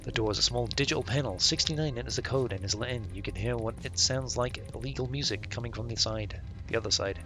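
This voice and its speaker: A man's voice: mid-range voice, fast, few pauses, music in the background. A man live-streaming a game, reading its text aloud and commenting in a casual, slangy, sweary way.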